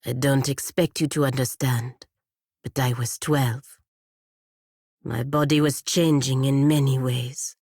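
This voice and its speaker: An actress playing a proud, stern godlike warrior woman in a fantasy video game, speaking in formal, measured phrases. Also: rumbling low, darkish tone, no room sound, clean studio sound, heavily acted.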